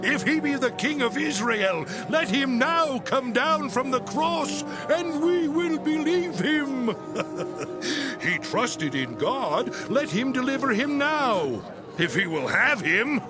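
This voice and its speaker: A sneering religious elder, pitched extremely high and swooping widely. He taunts in slow, drawn-out phrases, full of scorn, in a scripture audio drama. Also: sing-song, over music, heavily acted. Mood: mocking, gleeful. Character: sneering religious elder mocking a condemned man.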